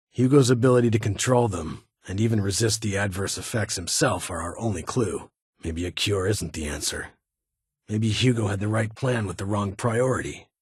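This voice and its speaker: Stern vault security boss for a game, really raspy, lowish voice, formal and dry. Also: male voice, no room sound. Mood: grave, reflective. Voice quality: husky, raspy. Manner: formal, measured, dry, authoritative. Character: stern, guarded male security chief of a post-apocalyptic vault. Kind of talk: video game dialogue.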